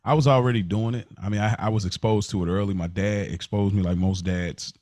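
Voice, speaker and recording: A man's voice: fairly low pitch, steady tone, a little fast, darkish tone, dry recording. Reflective, confessional man, dry mic. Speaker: podcast co-host. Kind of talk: candid casual conversation.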